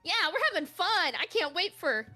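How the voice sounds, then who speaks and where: High, bright, teasing woman co-streamer. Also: big pitch swings, fairly quick, faint music behind. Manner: playful, teasing, exclamatory. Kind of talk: live game stream banter.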